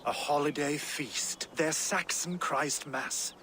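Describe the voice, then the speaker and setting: Vengeful, high-pitched Viking warlord. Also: male voice, fairly even intonation, slow, pushed and bright, slight background noise. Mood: brooding. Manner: archaic, grandiose, oath-laden speech. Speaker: actor in a video game. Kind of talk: dramatic game cutscene acting. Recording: music behind the voice.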